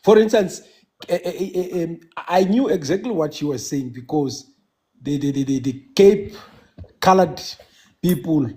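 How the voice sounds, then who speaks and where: A South African man whose first language is Xhosa, calling in to a casual live chat and talking haltingly, with many restarts and fillers. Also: fairly high pitch, dark tone. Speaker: caller.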